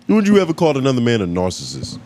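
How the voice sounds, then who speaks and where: A man's voice: mid-range voice, huge pitch swings, racing, noisy background. An American man in casual podcast chat as a co-host, bluntly questioning and challenging the others.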